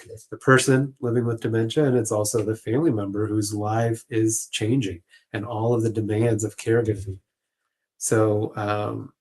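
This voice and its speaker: A man speaking as a social worker guest in a panel conversation, thinking aloud in a halting, tentative way. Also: lowish voice, a little mellow.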